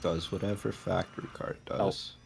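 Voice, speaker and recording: A man with a deep voice playing a game with a friend, teasing him in a dry, casual way. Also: little pitch movement, moderate pace, slight background noise. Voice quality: deep, gravelly. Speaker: co-player. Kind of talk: casual gaming chat.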